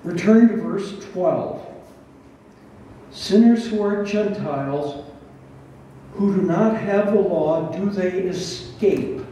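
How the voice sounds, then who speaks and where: An older man in his sixties teaches scripture from the front of a very echoey room, sounding a little distant. His voice sits high for a man and is soft-edged and mellow. He explains deliberately, posing questions as he goes. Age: 60s. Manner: deliberate, didactic, with rhetorical questions. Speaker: pastor teaching a Bible study. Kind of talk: expository sermon, reading and explaining scripture. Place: barn hall with a stage, indoors. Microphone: headset. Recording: roomy, echoing, distant sound with faint noise.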